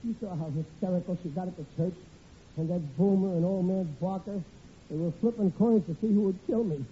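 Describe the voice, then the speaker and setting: An actor playing a hapless, blustery bridegroom in an old radio comedy, speaking in a flustered, colloquial way. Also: male voice, high voice, fairly quick, natural voice. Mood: harried. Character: hapless, panicky working-class bridegroom in trouble. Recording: muffled, hissy vintage radio recording.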